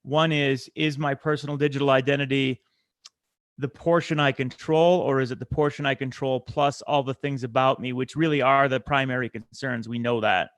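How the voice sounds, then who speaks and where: A man leading a committee discussion, speaking haltingly, with frequent fillers and self-corrections as he calls on speakers. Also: medium pitch, steady tone, no echo.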